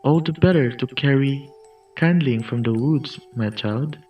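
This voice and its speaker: A man's voice: mid-range voice, unhurried, close to an everyday voice. A man voicing a sly, predatory werewolf who poses as a grandmother in a storybook tale, coaxing and commanding. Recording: muffled lo-fi distant mic, music behind.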